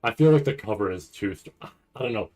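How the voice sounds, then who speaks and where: Male streamer, lowish voice, casual rambling delivery, thinks out loud in fragments and keeps checking in with the listener. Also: expressive, a little fast, soft background music. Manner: thinks aloud in fragments, frequently checking with "right?". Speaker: streamer hosting a reaction stream. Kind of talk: casual, rambling livestream chat.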